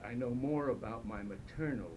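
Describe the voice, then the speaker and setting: An older man from Ukraine, recounting his early life in a slow, reflective oral history interview with frequent pauses. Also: mid-range voice, dull audio, background noise.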